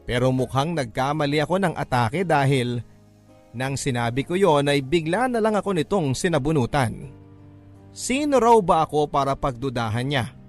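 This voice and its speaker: A man with a Filipino accent narrating a first-person drama story in an emotive, measured, classic radio style. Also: a little high, animated. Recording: music under the voice. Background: background music.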